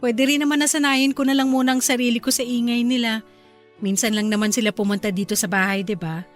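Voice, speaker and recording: A woman's voice: on the high side, steady tone, fast, soft background music, close to an everyday voice. A woman with a Filipino accent playing a polite, accommodating young pregnant wife in a radio drama. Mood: gentle, accommodating. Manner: polite, deferential.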